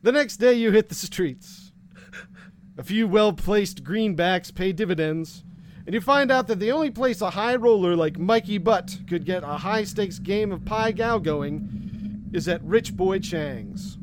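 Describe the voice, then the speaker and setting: High-voiced, slow-talking male narrator. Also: animated, slight background noise. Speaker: game master. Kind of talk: second-person noir narration.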